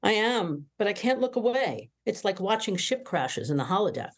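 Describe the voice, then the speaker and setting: A brash young woman officer from a sci-fi cartoon script, read by an actress at a table read. She is nosy, mischievous and bossy. Her intonation swings in a lively, expressive way. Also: medium pitch, moderate pace. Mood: defensive, fascinated.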